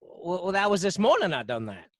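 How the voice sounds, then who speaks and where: An actor playing a timid, dim, deferential prison guard in a radio drama, stammering and hesitant with folksy speech. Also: male voice, high voice, huge pitch swings, brisk, no room sound, clean studio sound, heavily acted. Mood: nervous, hesitant. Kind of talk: old-time radio drama acting.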